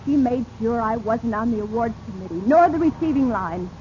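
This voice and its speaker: An actress playing a resentful, ambitious wife who nags insistently in a 1940s radio drama. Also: female voice, fairly high pitch, fairly quick, darkish tone, natural voice. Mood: bitter, scornful. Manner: scornful, insistent, clipped theatrical delivery. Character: ambitious, resentful, nagging wife demanding status. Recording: muffled, noisy 1940s radio recording.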